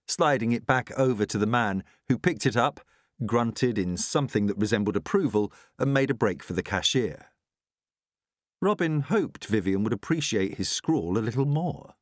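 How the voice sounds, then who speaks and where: A man's voice: medium pitch, dry recording, clean studio sound. A man reading a novel aloud as scripted audiobook narration.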